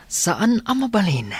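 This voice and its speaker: Guy doing a bragging spoiled rich kid, husky voice, smug laughs, radio play. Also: sits high, exaggerated voice. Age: young adult. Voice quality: cocky, laughs often. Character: boastful, spoiled rich young man. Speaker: college-age son. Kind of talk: Ilocano radio-drama acting.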